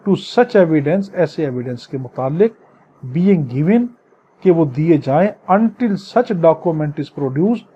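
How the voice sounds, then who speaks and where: Slow, high-voiced Pakistani man lecturing. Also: mellow, breathy edge. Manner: didactic, explains phrase by phrase with repetition. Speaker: law teacher. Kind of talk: lecture, reading English statutes aloud and explaining them in Urdu. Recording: dull, muffled lo-fi audio.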